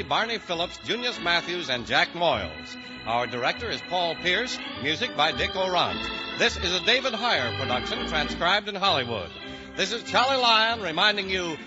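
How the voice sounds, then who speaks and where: American man, 1950s radio announcer, high voice, polished, emphatic, muffled vintage recording. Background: music.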